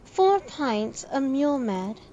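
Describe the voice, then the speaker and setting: A woman acts a chatty, friendly pub landlady in an audio play. Her voice is high. The sound is slightly dull and lo-fi with a faint noise behind. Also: very animated, slow, warm tone, exaggerated voice. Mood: welcoming. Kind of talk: amateur audio drama acting.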